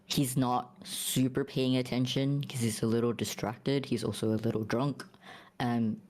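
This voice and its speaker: A man's voice: medium pitch, little pitch movement, measured pace, a little mellow. A man casually narrating what his character does as a player in a tabletop game. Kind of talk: casual third-person roleplay narration.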